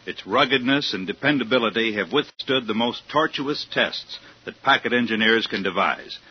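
A man pitches products in an old radio commercial. His mid-range voice is folksy and emphatic. The vintage recording is muffled, with faint noise. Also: expressive, normal speed. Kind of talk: scripted 1950s radio advertisement.

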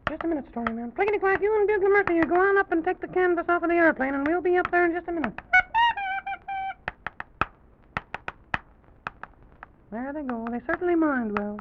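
A man voicing an excitable, bumbling, boastful little president who stammers and repeats his words in an old children's radio story. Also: extremely high pitch, racing, background noise, pitched above the performer's own voice. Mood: bossy, hurried. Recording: dull, muffled old radio recording.

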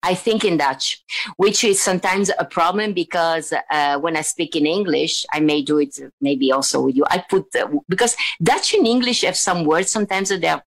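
A woman from Italy with an Italian accent, telling stories as an interview guest in a chatty, animated way with frequent restarts. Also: on the low side, normal speed. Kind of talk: casual conversational storytelling.

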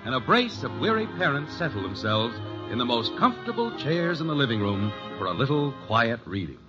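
A man's voice: medium pitch, big pitch swings, brisk, over music. An American man announcing and narrating from a script in the style of 1950s radio. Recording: muffled old radio broadcast recording.

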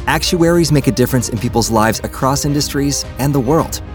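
A man reading a scripted commercial in a measured, earnest way. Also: mid-range voice, soft background music.

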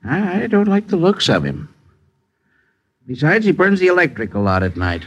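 A man's voice: on the high side, wildly swooping pitch, moderate pace, muffled recording, heavily acted. An actor playing a suspicious, easily flustered boarding-house landlord in a 1950s radio drama, hesitant and folksy in his grumbling.

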